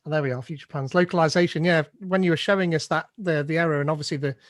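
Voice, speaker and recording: A man's voice: on the high side, rapid-fire, no room sound, clean studio sound. A man hosting a meetup, asking questions in a chatty, friendly way. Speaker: meetup host. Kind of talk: casual Q&A hosting.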